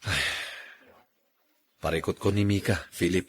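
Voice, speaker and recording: A man's voice: deep voice, fairly even intonation, a little slow, breathy edge, no echo. A man with an Ilocano accent acting and narrating as the storyteller of a radio drama. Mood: troubled, confiding. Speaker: radio drama host and actor.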